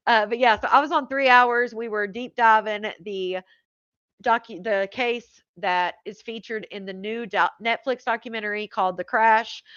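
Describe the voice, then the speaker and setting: A woman's voice: a little high, a bit bright, dry recording. A woman hosting a livestream, talking loosely with her viewers and answering their comments as they come in. Her voice sounds a little worn after hours on air. The audio is dry and slightly dull, like a home streaming mic. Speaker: live stream host. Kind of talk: casual chat with live viewers.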